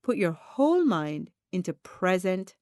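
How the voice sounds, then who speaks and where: Woman narrating, lively intonation, dry close sound. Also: on the low side, measured pace, no room sound. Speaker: audiobook reader. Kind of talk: scripted narration of a self-help book.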